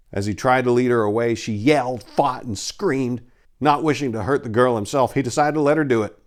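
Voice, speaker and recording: A man with a notably low voice works as host and dramatic reader. His delivery is theatrical and emphatic, shaped for storytelling aloud. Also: darkish tone.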